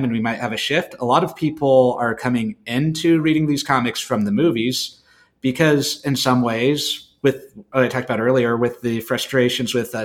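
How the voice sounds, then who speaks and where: A man co-hosting a podcast, explaining informally and often restarting his thoughts as he goes. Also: medium pitch. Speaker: podcast co-host. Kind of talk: informal explanatory panel talk.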